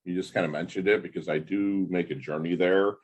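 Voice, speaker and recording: A man's voice: sits low, steady tone, fairly quick. A man in his fifties hosting a podcast interview, chatting enthusiastically and rambling with lots of fillers. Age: 50s. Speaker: podcast host. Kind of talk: casual interview chat.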